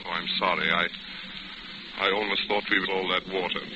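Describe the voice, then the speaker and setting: An actor playing a polite, attentive but secretive gentleman passenger in a 1940s radio drama, suave and slightly hesitant. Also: deep voice, flat delivery, fairly quick, natural voice. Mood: apologetic, coaxing. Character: smooth, attentive, secretive gentleman ship passenger courting a woman. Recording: muffled, very noisy old radio recording.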